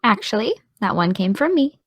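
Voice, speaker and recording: Actress as a young woman, caring new friend, bit tentative, teases gently, casual audio drama, dry sound. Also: medium pitch, very animated, normal speed, no room sound. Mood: gently candid. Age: young adult. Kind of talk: naturalistic audio drama dialogue.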